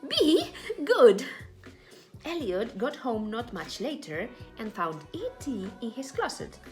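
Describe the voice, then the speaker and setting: Lady reading a kids story out loud, super expressive and up and down, takes it slow, bit of music behind. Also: on the high side. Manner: deliberate, dramatic phrasing for children. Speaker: storybook reader. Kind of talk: expressive read-aloud children's story narration.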